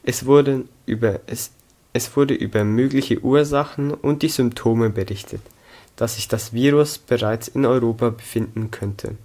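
A sixteen-year-old young man from Switzerland, reading a scripted story aloud in a careful, measured manner. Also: medium pitch, dark tone. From Zug, Switzerland. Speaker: student reading own story. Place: small indoor room against a plain wall.

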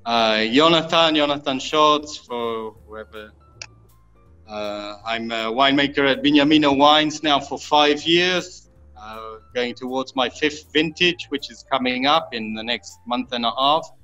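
Halting, slow-speaking man on lo-fi audio. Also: mid-range voice, bright, forceful tone. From Israel. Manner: halting, pausing and repeating words. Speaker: winemaker, guest. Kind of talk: informal virtual tour explanation. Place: underground stone wine cave. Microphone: phone or laptop at arm's length. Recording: dull lo-fi video-call audio, music behind.

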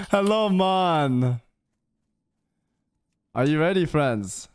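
Young man, gaming streamer, playful teasing chat, lively swooping pitch, unhurried delivery, close studio mic. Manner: playful, teasing, jumpy and animated. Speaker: streamer leading a game group. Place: indoor home streaming room. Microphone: studio mic on a boom arm, close.

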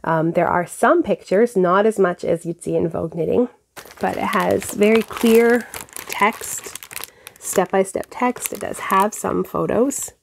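A woman's voice: mid-range voice, measured pace, creaky, a little hiss or hum. A woman in her thirties with a stuffed-up nose, chatting casually to camera as host of a knitting podcast, recorded on a lapel mic at home. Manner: relaxed, chatty, frequent fillers. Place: home craft room with bookshelves.

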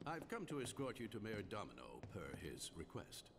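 Older gentleman aide: lowish, expressive, very polite, in a reverberant hall. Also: normal speed. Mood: courteous, formal. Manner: formal, unctuously polite. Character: courteous, formal older male official's aide. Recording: long reverberant hall, faint noise.

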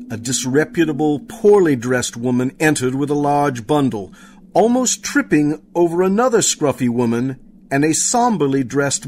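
Slow, expressive male narrator. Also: medium pitch. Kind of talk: dramatic scripted storytelling. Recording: clear background noise.

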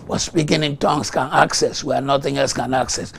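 A middle-aged male pastor, a Yoruba native speaker, preaching a fervent televised morning sermon and breaking into rhythmic bursts of rapid tongues, recorded on a lapel mic in a TV studio. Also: medium pitch, steady tone, vocal fry, fairly bright tone, slight background noise. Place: TV studio set.

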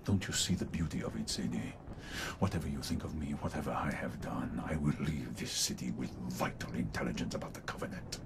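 Deep, smug villainous police commissioner. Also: male voice, brisk, creaky. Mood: commanding, grandiose. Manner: unctuous, gloating, menacing. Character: smug, murderous, ruthless middle-aged male police commissioner. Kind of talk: sci-fi audio drama villain acting. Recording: video game audio, loud noisy background.

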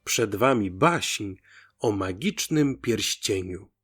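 A man narrating a folk tale as host, in an expressive, theatrical storytelling style. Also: on the low side, slow pace, slightly rough, faint music behind.

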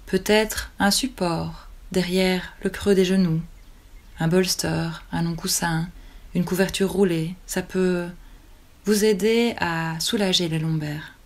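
Female meditation guide: soothing, very unhurried delivery in short phrases, on the lower side. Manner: calm, soothing, with long pauses between short phrases. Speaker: yoga nidra teacher.

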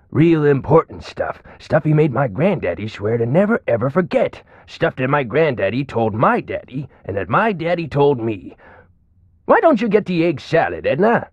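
Chatty american old man for a game, rambles folksy stories, pitch goes all over. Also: no room sound, highs cut off. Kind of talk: video game character acting.

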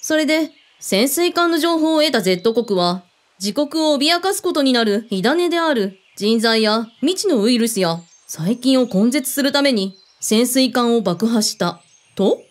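An actress plays a shrewd female ex-agent with a fairly high, very animated voice. She sounds skeptical and probing, using terse, mannish phrasing in radio drama style. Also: a little slow. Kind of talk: Japanese radio drama acting.

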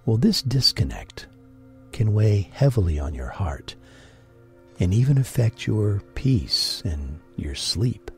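A man guiding a sleep meditation in a gentle, deliberate, breathy voice with long pauses. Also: on the low side, big pitch swings. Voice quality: husky, breathy. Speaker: meditation guide and host. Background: music.

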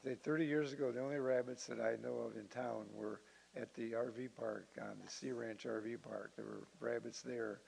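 Male council member, very creaky voice, hesitant informal delivery, slightly hissy recording. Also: mid-range voice, steady tone, darkish tone, rough recording. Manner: hesitant, halting. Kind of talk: informal remarks at a council meeting. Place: council meeting room. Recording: hissy meeting-room audio.

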